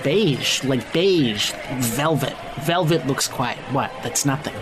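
Brash, teasing male team leader, audio drama, lively intonation, prominent music behind. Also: mid-range voice, takes it slowly. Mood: grudging, sardonic. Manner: slangy, teasing, sarcastic. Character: brash, cocky, wisecracking, foul-mouthed male team leader with magic. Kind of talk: naturalistic audio drama acting. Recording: music playing behind the dialogue.

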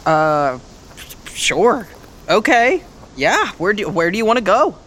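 Jittery, high-voiced young American thief. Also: male voice, very animated, slow, a bit bright, full character voice. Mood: flustered. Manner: rambling, stammering, eager to please. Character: nervous, chatty young man bluffing as a roguish thief. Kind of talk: fiction podcast acting, casual modern speech.

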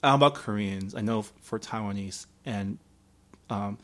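A man's voice: on the low side, normal speed. A young adult man whose first language is Mandarin, talking in a laid-back, hesitant way with many ums in a casual conversation.

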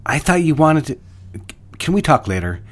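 A man playing a busy, patronizing businessman and sweet-talking lover in a period audio drama, smooth-talking, controlling and easily impatient. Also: on the high side, sing-song, normal speed. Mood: impatient, placating.

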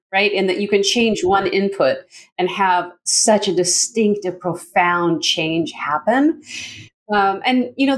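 Middle-aged North American woman, chatty storyteller. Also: lowish voice. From Canada. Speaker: communications coach, consultant and educator, interview guest. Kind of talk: casual conversational interview answers.

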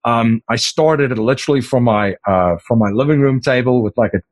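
A man's voice: mid-range voice, brisk, dry recording. A middle-aged man, an Afrikaans speaker, talking casually in an interview, rambling with many fillers and restarts. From South Africa. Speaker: podcast host as interview guest.

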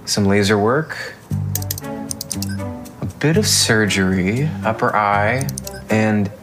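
A man acting as a smooth, assured cosmetic surgeon in a television drama, speaking in a measured, persuasive way. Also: fairly low pitch, animated. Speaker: actor in a TV series clip. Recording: TV drama clip with music underneath.

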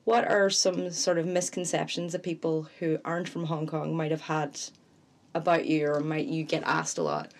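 A woman's voice: low voice, steady tone, normal speed. A woman hosting a podcast interview, guiding the talk with friendly questions and fillers. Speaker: podcast host.

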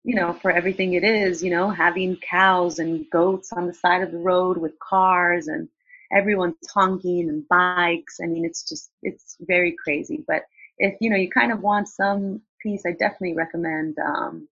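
A woman with a low, slightly husky voice answers questions in a loose, chatty way, full of fillers and restarts. The sound is dry and a little dull, like a lo-fi recording. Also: steady tone, moderate pace, fairly bright tone, no room sound. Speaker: guest. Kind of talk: casual interview answers.

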